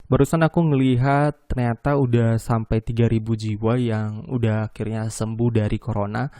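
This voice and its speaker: A man's voice: medium pitch, steady tone, moderate pace, dark tone, no room sound. A young man from Medan hosting a solo podcast, talking casually and hesitantly, often restarting and apologizing. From Medan, North Sumatra. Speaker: podcast host.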